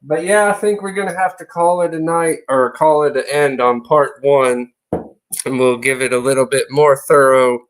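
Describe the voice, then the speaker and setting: A man hosting a casual livestream, reading viewer comments aloud in a relaxed, drawling way. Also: medium pitch, normal speed. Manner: laid-back, drawling, reads chat aloud. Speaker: livestream host.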